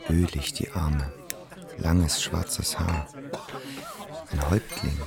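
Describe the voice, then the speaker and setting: A brooding observer in a radio play, speaks in terse, poetic fragments. The voice is extremely deep and gravelly, set against loud music. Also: male voice, steady tone, slow pace, heavily acted. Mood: brooding, wondering. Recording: loud music and crowd behind.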